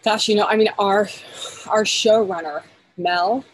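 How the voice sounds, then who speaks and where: A woman's voice: medium pitch, fairly even intonation, a little slow, low-quality recording. A woman in her forties, a film editor and guest, talking eagerly over a video call from outdoors in her backyard, with many restarts and fillers. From United States.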